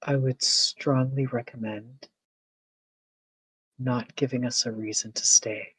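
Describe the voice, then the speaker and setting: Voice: mid-range voice, fairly even intonation, slow, fairly bright tone, slightly rough, no echo, low-quality recording. A player voicing a wary woman investigator, speaking firmly and dryly in a tabletop game. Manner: firm, dry and warning. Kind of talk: playing a character in a tabletop game.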